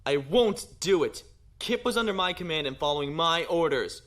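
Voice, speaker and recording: A man's voice: on the high side, expressive, moderate pace, fairly bright tone. A man playing a principled young noble naval lieutenant in an audio drama, earnest, formal and resolute. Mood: indignant, resolute.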